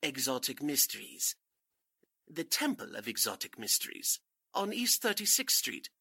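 Pompous, husky, creaky cult master played by an actor. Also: male voice, mid-range voice, wildly swooping pitch, moderate pace, pushed and bright, no room sound, clean studio sound, heavily acted. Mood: unctuous. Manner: pompous, theatrical, formal. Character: suave fake spiritualist cult leader, grandiose and soothing. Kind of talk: radio drama acting in 1940s manner.

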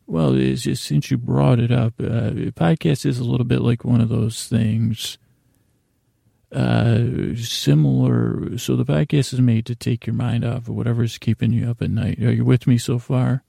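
Gravelly, meandering male bedtime podcast host. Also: medium pitch, fairly even intonation, warm tone, no echo, clean studio sound. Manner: halting, meandering, stumbles over words, circles back. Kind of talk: rambling, comedic bedtime monologue.

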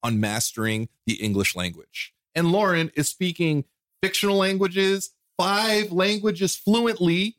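Man, podcast co-host, lively swooping pitch, jokey banter, dry close sound. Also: unhurried, dry recording. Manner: animated, jokey, acts out quoted people. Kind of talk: casual chat.

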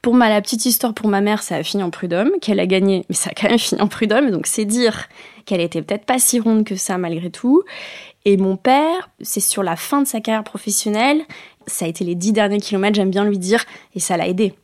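A woman's voice: medium pitch, fairly quick, darkish tone, no room sound, studio quality. A middle-aged woman from France talking reflectively as an interview guest, with many hesitations. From France, born in Bayonne. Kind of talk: casual interview talk.

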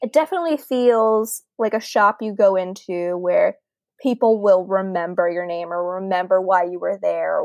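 A woman's voice: medium pitch, dry recording, clean studio sound. A young adult woman co-hosting a podcast in casual chat, candid and chatty with frequent laughs. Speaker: podcast co-host, book club organizer.

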